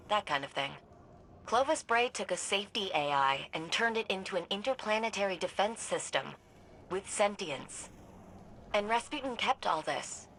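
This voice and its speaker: A woman's voice: medium pitch, slight background noise, close to an everyday voice. An actress playing a curious, analytical woman researcher talking over comms in a video game cutscene. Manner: inquisitive, analytical. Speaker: guardian's radio contact. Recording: game audio with loud score.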